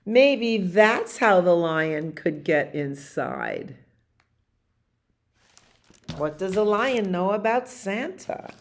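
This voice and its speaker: A woman in her sixties reading aloud at storytime in an expressive way, with chatty asides about the pictures and questions for her listeners. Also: mid-range voice, drawn-out words, pauses often, a little hiss or hum. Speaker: library storyteller reading picture books to children. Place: library activity room, indoors.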